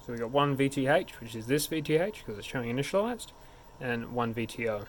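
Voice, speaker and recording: A man's voice: mid-range voice, expressive, brisk, low-quality recording. A man narrating a hands-on technical tutorial in an informal, step-by-step way. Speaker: tech support instructor. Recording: clear background noise.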